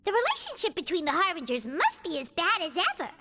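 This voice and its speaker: A woman voicing a tiny, chatty, childlike fairy companion in a video game, excitable and exclamatory. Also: fast, full character voice. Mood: puzzled, concerned. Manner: squeaky, exclamatory, refers to self in third person. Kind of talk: video game character acting. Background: game music.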